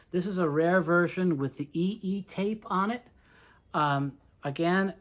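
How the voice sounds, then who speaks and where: Conversational collector with a mid-pitched voice. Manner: conversational, explanatory, enumerating. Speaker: collector presenting a tape reel collection. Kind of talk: informal show-and-tell commentary.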